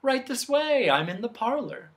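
A storyteller voicing a gentle, wistful female guardian of sounds who talks softly and at length in a storybook tale. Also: medium pitch, very animated, a little slow. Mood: gently welcoming. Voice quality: soft, gentle. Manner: talkative, sighing, savoring each phrase.

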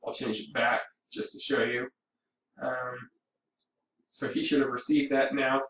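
A man giving a casual, explanatory software walkthrough as a hobby radio operator, thinking aloud as he goes. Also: mid-range voice, level tone, unhurried. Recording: roomy, distant mic with background noise.

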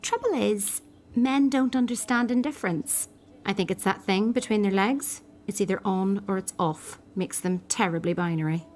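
A woman plays a village hairdresser in a radio soap. She speaks frankly and conversationally at a middling pitch, with a dry, wry edge. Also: normal speed, darkish tone, a little hiss or hum, close to an everyday voice. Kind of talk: radio soap opera acting.

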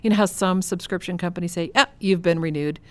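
A woman with an American accent hosts a podcast. Her voice is a little lower than most women's. She explains things conversationally, in a clear-cut, matter-of-fact way. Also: quick pace. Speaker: podcast host.

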